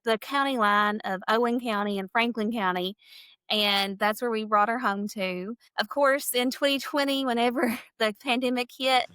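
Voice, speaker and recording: A woman's voice: fairly high pitch, normal speed, clean tone, no room sound. A woman, talking energetically as a candidate in a podcast interview. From southeastern Kentucky. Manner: energetic, talkative, laughs easily. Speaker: political candidate.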